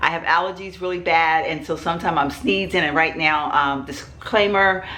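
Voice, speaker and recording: A woman's voice: deep voice, steady tone, moderate pace, bright, forceful tone. A middle-aged woman talks casually to her viewers from home. She is fighting a cold, and her talk rambles along with plenty of fillers and asides. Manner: rambling, chatty, many fillers. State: sick with a cold. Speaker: vlogger host. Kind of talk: casual chat to camera.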